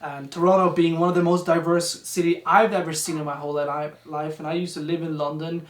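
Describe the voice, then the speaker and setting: Calm Swedish-accented speaker in a roomy echo. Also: mid-range voice, a little fast. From Sweden. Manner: calm, asks questions, a few restarts. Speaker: vlog co-host. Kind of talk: casual sit-down chat. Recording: echoey room, mic at a distance.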